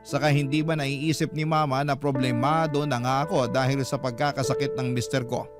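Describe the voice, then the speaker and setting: A man's voice: medium pitch, level tone, fairly quick. A man with a Filipino accent reading a letter dramatically as a radio storyteller. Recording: music behind the voice. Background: music.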